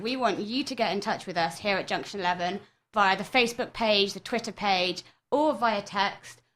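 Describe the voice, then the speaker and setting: A woman's voice: medium pitch, a bit bright. A young woman, a final-year student, presenting her research on a student radio show from a prepared script, addressing listeners directly. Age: young adult.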